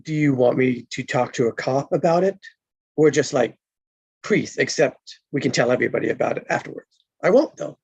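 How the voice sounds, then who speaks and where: A woman reading the part of a friendly, teasing police officer with a husky, creaky voice, in a casual table read. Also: extremely low pitch, fairly quick, a little mellow, no room sound, muffled recording, exaggerated voice. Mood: teasing concern.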